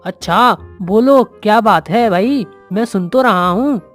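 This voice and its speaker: A woman's voice: mid-range voice, unhurried, faint music behind, close to an everyday voice. A woman voicing a food-loving, self-satisfied male crow, an entitled but easygoing husband in a children's cartoon story. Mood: pleased. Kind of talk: children's animated story acting.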